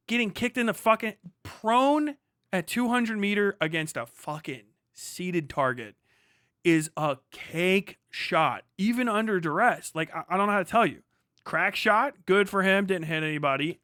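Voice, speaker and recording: A middle-aged man with a fairly high, bright and punchy voice, recorded dry and close. He talks like a livestream host reacting off the cuff, loose and slangy, swearing freely as he rambles. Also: dry recording. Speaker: livestream host, former Marine. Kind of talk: casual reaction commentary.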